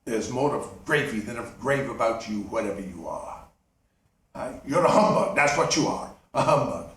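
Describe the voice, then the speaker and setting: A man's voice: animated, moderate pace, a bit bright, natural voice. A man voicing the miser Scrooge as a frightened, stammering old man. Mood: scoffing. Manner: shrill, panicky, stammering. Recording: echoey room, distant mic.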